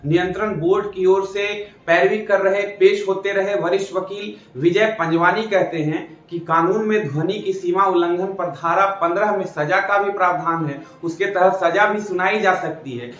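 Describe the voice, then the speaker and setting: A man's voice: sits high, fairly even intonation, moderate pace, hardly any pauses, a bit bright. A man reading scripted news commentary in a formal, emphatic way, repeating phrases for stress. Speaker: presenter. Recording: roomy echo with music behind. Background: background music.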